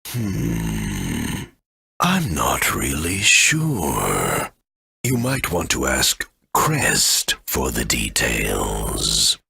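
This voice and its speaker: A man voicing a huge, ancient great elder tree in a video game, with a raspy voice and a halting, rambling way of remembering. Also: huge pitch swings, pushed and bright, no echo, heavily acted. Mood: slow recollection. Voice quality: very deep, gravelly, raspy. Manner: slow, halting, rambling recollection.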